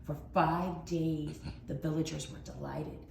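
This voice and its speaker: A woman's voice: deep voice, fairly even intonation, creaky, darkish tone. A woman reading a children's storybook aloud as a teacher, with dramatic, emphatic expression. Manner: dramatic, with emphatic drawn-out words. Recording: roomy echo, faint background noise.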